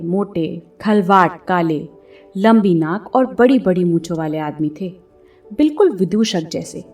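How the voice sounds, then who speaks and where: A woman narrates a story from a script in an expressive audiobook style. Her pitch is mid-range. She sounds a little away from the microphone, with music playing behind her. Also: far from the mic. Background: background music.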